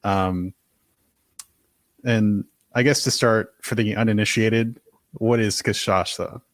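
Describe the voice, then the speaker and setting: A man with a low voice hosts a casual podcast interview. He asks relaxed questions and cracks small jokes. His voice sounds dry and close, with no room around it. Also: slow, no room sound. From United States. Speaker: podcast host.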